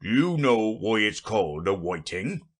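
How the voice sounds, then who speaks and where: Gryphon, solemn and slow, voiced by a man. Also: on the low side, big pitch swings. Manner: slow and solemn, turning impatient. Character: solemn, impatient male gryphon, deep-voiced.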